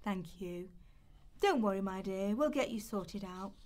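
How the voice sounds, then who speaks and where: A woman's voice: mid-range voice, animated, measured pace, darkish tone, natural voice. Kindly, devout female charity helper, played by a woman: genteel, soothing, radio drama. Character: kindly, pious female food bank volunteer. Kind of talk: amateur radio play rehearsal.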